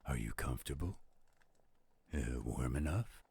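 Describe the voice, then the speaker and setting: A man playing a gruff but gentle, fatherly werewolf in an intimate audio roleplay, with a deep, gravelly, husky voice and an unhurried delivery. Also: expressive, exaggerated voice. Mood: relaxed, teasing.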